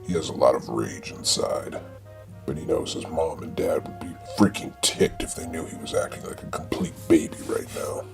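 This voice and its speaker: Very deep, gravelly man narrating. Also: normal speed, music in the background. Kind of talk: scripted comic narration of an audio drama.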